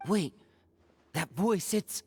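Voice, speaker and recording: A woman's voice: on the low side, lively intonation, slow pace, warm tone, husky, soft background music, heavily acted. An actress playing an earnest, questioning young female adventurer in a fantasy video game. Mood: curious.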